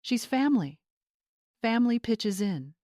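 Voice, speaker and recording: A woman's voice: a little high, very animated, measured pace, dark tone, dry recording, clean studio sound, pitched above the performer's own voice. A woman voicing a spoiled, bossy young bride influencer in a narrated story, snapping, scornful and entitled. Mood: sneering, bossy.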